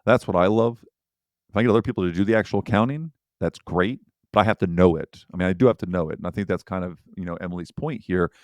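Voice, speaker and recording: A man with a very deep voice muses earnestly as a podcast co-host, in a relaxed conversational tone. The sound is dry and close to the mic. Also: fast, dry recording. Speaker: podcast co-host, firm owner. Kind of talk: casual chat.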